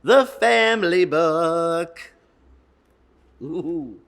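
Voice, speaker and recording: A man hosting a children's storytime, reading picture books and chatting in a gentle, encouraging way. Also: medium pitch, animated, extremely slow, vocal fry, a little hiss or hum.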